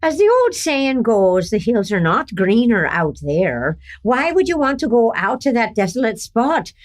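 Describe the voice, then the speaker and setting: A woman's voice: a little high, sing-song, a little slow. A woman playing a chatty, doubting Irish townswoman in a western radio play, with a folksy sing-song manner. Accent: stage Irish.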